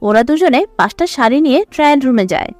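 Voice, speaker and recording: A woman's voice: high voice, lively intonation, quick pace, darkish tone, no echo, soft background music. A woman narrator reading a scripted dramatic story.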